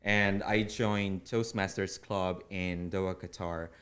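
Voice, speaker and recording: Low-pitched Filipino man, deliberate interview guest. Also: little pitch movement, fairly bright tone. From Philippines. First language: Filipino (Tagalog). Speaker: interview guest, public speaking club officer. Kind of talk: earnest interview explanation.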